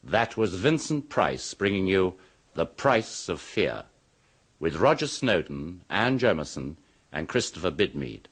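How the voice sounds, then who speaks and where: Male radio announcer, deep voice, husky, animated scripted credit reading. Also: measured pace. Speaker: closing announcer.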